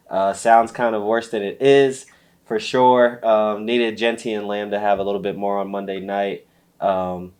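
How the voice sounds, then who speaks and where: A stuffy-nosed man with a lowish voice and little rise or fall in his pitch. He runs a laid-back fantasy football chat, drifting through fillers and easy chuckles. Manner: relaxed, lots of fillers and light laughs. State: stuffy from allergies. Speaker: podcast co-host.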